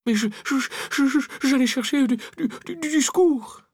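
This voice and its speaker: Man playing a whiny, panicky schoolboy, high-pitched. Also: moderate pace, dry recording, clean studio sound. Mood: whimpering, indignant. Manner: stammering, whimpering, grumbling. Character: Pépé Cuvert, cowardly whiny schoolboy, easily terrified.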